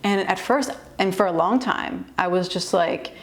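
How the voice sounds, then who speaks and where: A woman's voice: medium pitch, unhurried. A young woman, a native English speaker, giving candid beginner tips in a chatty vlog, laughing easily and using many fillers. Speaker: vlogger and language tutor. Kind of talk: casual advice vlog.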